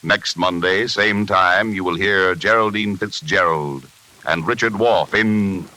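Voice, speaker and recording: Deep-voiced American radio announcer, a man. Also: slow pace, heavy background noise. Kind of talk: formal 1940s radio announcing. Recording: old 1940s radio broadcast recording.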